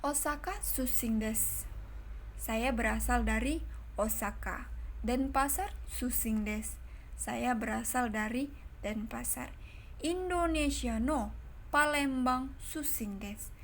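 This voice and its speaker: A woman with a fairly high voice runs an online language lesson. She says each example phrase slowly and clearly, then explains it in a patient, chatty way. A faint hum of background noise sits under her voice. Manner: explains slowly and repeats example sentences, addresses viewers as friends. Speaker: Japanese language teacher.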